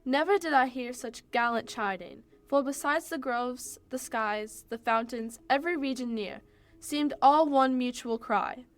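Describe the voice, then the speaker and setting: A woman's voice: sits high, a little slow. A young woman playing a proud warrior queen, reciting Shakespearean verse in a radio play. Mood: proud, admiring. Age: teens or young adult. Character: proud warrior queen reminiscing grandly of hunts.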